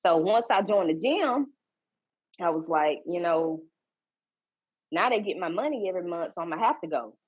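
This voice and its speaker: A woman, a guest on a podcast, talking in a relaxed, casual way with repeats and restarts. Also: fairly low pitch, normal speed, pauses often, breathy edge, no echo, muffled recording. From Georgia, US. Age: 30s. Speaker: guest, fitness coach.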